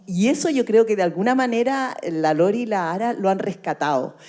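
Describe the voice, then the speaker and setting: A woman's voice: mid-range voice, warm tone. An older woman with a Chilean accent, an actress talking colloquially and telling anecdotes as a guest. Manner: colloquial, storytelling. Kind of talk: anecdotal conversational talk.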